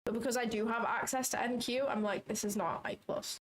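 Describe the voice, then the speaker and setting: A woman's voice: fairly high pitch, steady tone, fairly quick, a little hiss or hum. A woman hosting a trading livestream from her office, chatting casually, thinking aloud, second-guessing herself and swearing now and then. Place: small office.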